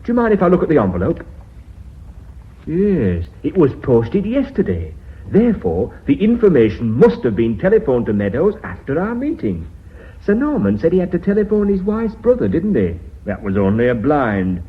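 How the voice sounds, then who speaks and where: An actor in a radio drama playing a suspicious company board member who argues and accuses. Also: male voice, fairly high pitch, wildly swooping pitch, normal speed. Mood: accusing. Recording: phone-like narrow-band radio recording.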